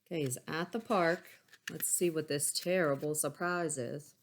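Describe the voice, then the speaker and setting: A woman teacher reading a picture book aloud to young pupils, expressive, with chatty asides. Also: sits low, moderate pace. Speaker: elementary school teacher.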